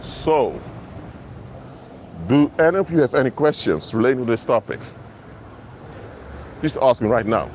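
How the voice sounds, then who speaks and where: Brief: male minister, Papiamento and Dutch native, earnest street live-stream preacher, mid pitch, faint traffic behind. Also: big pitch swings, unhurried, pauses often, darkish tone. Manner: earnest, repetitive, addresses viewers directly. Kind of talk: outdoor live-stream sermon. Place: outdoors in an urban area. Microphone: mobile phone.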